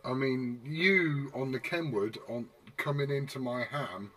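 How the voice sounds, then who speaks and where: A man's voice: mid-range voice, unhurried, bright, forceful tone, breathy edge. A man in casual radio hobbyist chat, a little hesitant and repeating phrases for emphasis. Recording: distant from mic, faint noise.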